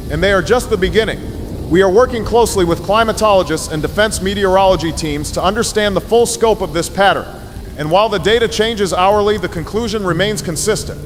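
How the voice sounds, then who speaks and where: Actor as grave, higher-voiced president. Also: male voice, background noise, close to an everyday voice. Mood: formal, grave. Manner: measured, solemn, reassuring oratory. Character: grave, resolute American president addressing a nation in emergency. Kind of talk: formal press conference address.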